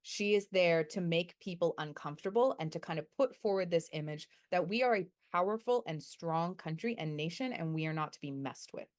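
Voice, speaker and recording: Lowish-voiced woman, measured academic answers. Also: lively intonation. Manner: thoughtful, measured, self-correcting. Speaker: guest researcher.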